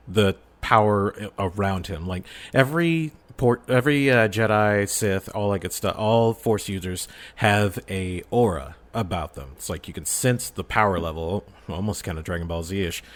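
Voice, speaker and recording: Male podcast guest, lower register, casual fan chat with a playful streak. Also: normal speed. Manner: playful, slips into exclaimed mock quotes of characters. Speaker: podcast guest, audio drama creator. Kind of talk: casual chat about game lore.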